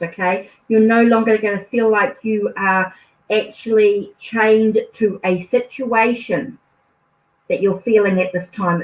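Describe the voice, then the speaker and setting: A woman from New Zealand with a New Zealand accent, giving a tarot card reading to a viewer in a reassuring way and often ending phrases with a questioning "okay?". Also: mid-range voice. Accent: New Zealand English. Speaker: tarot reader. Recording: echoey, distant, dull lo-fi sound.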